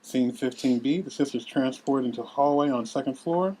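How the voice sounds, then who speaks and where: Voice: mid-range voice, moderate pace, dark tone, low-quality recording. A narrator reading scene headings and stage directions at a table read.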